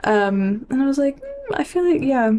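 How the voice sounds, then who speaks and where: A woman's voice: high-pitched, wildly swooping pitch, moderate pace, mellow. Chatty woman, casual podcast talk, some noise. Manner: chatty, heavy use of "like". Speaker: podcast co-host. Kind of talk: casual book discussion.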